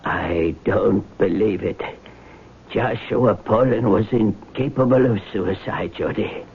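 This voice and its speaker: An actor playing a grave, blunt old family lawyer with a formal, measured manner in a 1970s radio drama. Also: male voice, fairly low pitch, gravelly, slight background noise. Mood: grave, accusing. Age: older. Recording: narrow phone-like old radio broadcast.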